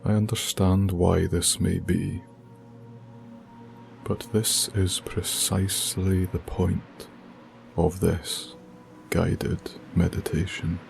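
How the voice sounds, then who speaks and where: Very slow, deep-voiced man guiding meditation. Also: level tone, gravelly, darkish tone. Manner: soothing, deliberate, with long pauses. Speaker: meditation guide and coach. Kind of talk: ASMR guided meditation. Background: music with binaural beats.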